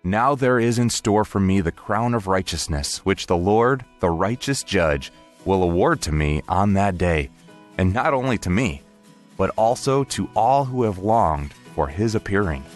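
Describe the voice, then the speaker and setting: A man reading a devotional and prayer in a calm, measured, reverent way. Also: deep voice. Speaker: devotional reader. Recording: dry studio voice over faint music.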